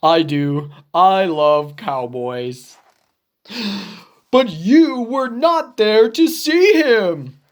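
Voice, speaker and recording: Woman putting on an emotional storybook elephant, very slow. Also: mid-range voice, animated. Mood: sorrowful. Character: big, emotional, needy male elephant, devoted friend. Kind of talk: children's picture book read-aloud.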